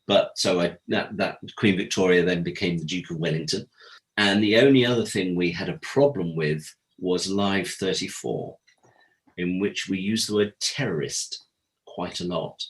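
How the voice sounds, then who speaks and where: A man's voice: low-pitched, normal speed, poor audio. A man being interviewed, telling candid anecdotes as a guest and acting out the conversations he quotes. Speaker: interview guest, former audio drama producer. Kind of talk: animated anecdotal interview talk.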